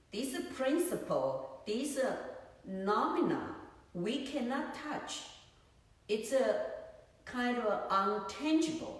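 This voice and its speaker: Slow-talking woman teacher in echoey hall. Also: medium pitch. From Taiwan. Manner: unhurried, searching for words, many "you know"s. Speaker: Buddhist teacher. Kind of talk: dharma talk. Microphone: phone on a tripod. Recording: echoey room, far from mic.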